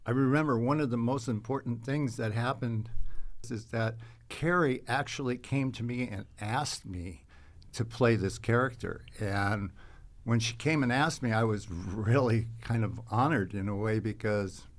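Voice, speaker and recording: A man speaking as an interview guest, telling casual stories in a halting, rambling way. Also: mid-range voice. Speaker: guest, actor.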